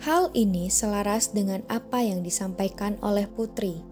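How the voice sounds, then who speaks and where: Mellow female documentary narrator. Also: mid-range voice, moderate pace, soft background music. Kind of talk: scripted narration.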